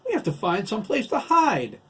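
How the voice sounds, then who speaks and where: A man's voice: high voice, huge pitch swings, normal speed, slightly rough, pitched above the performer's own voice, heavily acted. A man voicing a frantic, stern, protective mother with insistent, scolding cries, in an audiobook.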